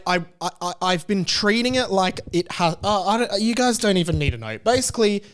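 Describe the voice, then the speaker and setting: A man's voice: on the high side, smooth. A man hosting his own podcast, talking casually in a rambling, candid way and often stopping to restart his sentences. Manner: rambling, self-interrupting, candid. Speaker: podcast host. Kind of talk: casual solo podcast chat.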